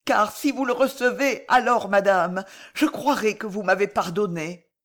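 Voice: medium pitch, unhurried, fairly bright tone, lightly acted. A woman voicing a guilt-ridden female spy in an audiobook novel, humble and imploring, with a strained, faltering delivery. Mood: broken pleading.

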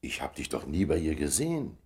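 A man's voice: a bass voice, huge pitch swings, brisk, a little mellow, exaggerated voice. An actor playing a weary, dryly witty statesman in his fifties in a German radio drama, speaking with emphatic authority. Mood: guarded.

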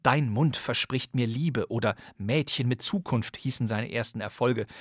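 A man's voice: mid-range voice, no room sound, clean studio sound. A middle-aged man hosting a podcast, narrating the history of old radio dramas with enthusiasm and expert knowledge.

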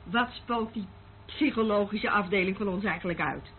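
A woman's voice: medium pitch, moderate pace, close to an everyday voice. A woman acting a brazen, seductive, volatile agent in a Dutch radio play, with a theatrical, taunting manner. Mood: indignant. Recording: narrow phone-like old radio recording, faint noise.

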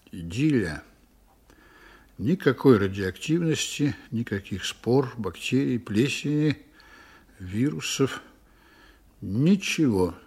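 Husky, stern starship commander. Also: male voice, medium pitch, a little mellow, exaggerated voice. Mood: sardonic. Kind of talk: science-fiction audio drama acting.

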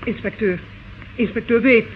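A man's voice: high-pitched, fairly even intonation, normal speed, lightly acted. A male actor playing an anxious, dutiful prison warder in an old radio drama, respectful and alarmed. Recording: tinny, hissy old radio recording.

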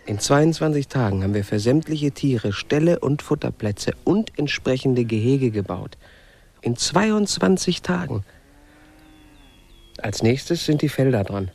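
A man in a radio play, playing a shy, grumpy settler on a far planet in a halting, grumbling manner. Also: mid-range voice, lively intonation, vocal fry, darkish tone. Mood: weary. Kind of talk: German radio play acting.

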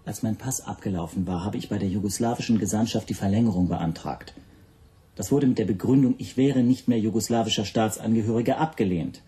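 An actor playing an earnest, anxious Hungarian language teacher who explains himself formally and carefully in a radio drama. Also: male voice, on the low side, fairly quick, mellow, close to an everyday voice. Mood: earnest, defensive.

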